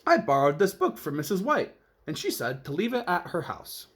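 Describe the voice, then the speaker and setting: A man's voice: sits high, huge pitch swings, moderate pace, fairly bright tone, voice pushed up, exaggerated voice. A man voicing a cheerful, literal-minded woman in a children's storybook, earnest and emphatic. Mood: matter-of-fact. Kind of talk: children's storybook read-aloud.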